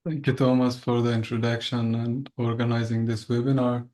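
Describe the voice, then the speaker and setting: A man's voice: mid-range voice, level tone, normal speed, warm tone. A young man from Afghanistan giving an earnest webinar talk over a video call, often restarting his words. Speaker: guest speaker.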